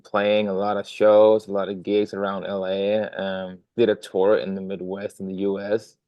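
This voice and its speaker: Young Danish man, lowish voice, dry close sound, rambling with fillers. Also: little pitch movement, normal speed, no echo, lo-fi sound. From Denmark. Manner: many fillers and restarts, friendly rambling. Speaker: guest drummer. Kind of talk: casual interview talk.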